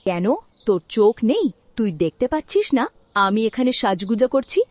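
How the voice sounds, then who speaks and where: Haughty young cartoon stepsister, played by a woman: spoiled, whining, very animated, dry recording. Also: on the high side, moderate pace, dry recording. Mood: haughty, mocking. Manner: whiny, snooty. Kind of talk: Bengali cartoon dialogue.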